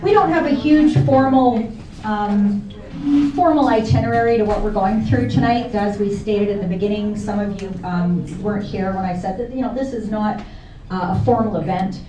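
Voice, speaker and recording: A woman acting as emcee, making relaxed, filler-heavy announcements to a room. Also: medium pitch, moderate pace. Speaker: event emcee. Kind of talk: casual announcements. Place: large hall at a memorial gathering. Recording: echoey hall, distant, crowd chatter.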